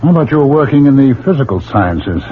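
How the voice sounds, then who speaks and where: A man's voice: medium pitch, big pitch swings, quick pace, breathy edge. An actor playing a wry, sceptical middle-aged doctor and father in a 1970s radio drama, dry and pointed in manner. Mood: probing. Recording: dull old radio recording, faint hum.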